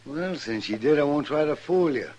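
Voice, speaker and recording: A man's voice: a little high, lively intonation, moderate pace, a little mellow, muffled recording. A man playing a mean, stubborn old horse rancher in a radio western, with a defiant, folksy drawl and an American Western accent. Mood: defiant, scornful. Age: older. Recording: old radio recording with noise.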